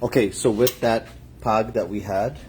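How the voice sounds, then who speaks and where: A man's voice: on the low side, fairly even intonation, unhurried, darkish tone, slight background noise. Chatty Guyanese man cooking. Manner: upbeat, chatty, addresses viewers. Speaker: guest chef. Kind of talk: casual cooking chat. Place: home kitchen.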